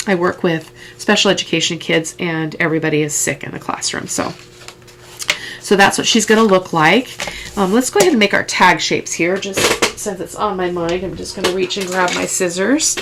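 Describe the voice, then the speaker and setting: A middle-aged woman with a croaky, stuffy head-cold voice, chatting through a crafting tutorial step by step with casual asides. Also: fairly low pitch, normal speed, background noise. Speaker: craft vlogger.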